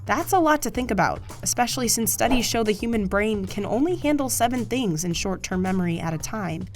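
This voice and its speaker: A woman's voice: mid-range voice, animated, fairly quick, faint music behind. An American woman reading scripted documentary narration as the narrator of a news feature.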